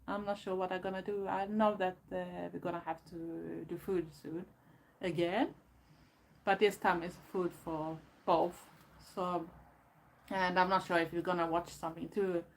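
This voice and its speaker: A woman's voice: on the low side, flat delivery, a little slow, gravelly, slight background noise. Chatty Swedish woman live streaming. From Sweden. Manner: chatty, rambling, many fillers and restarts. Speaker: goth YouTuber. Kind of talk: casual live stream chat. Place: bedroom at home, door closed.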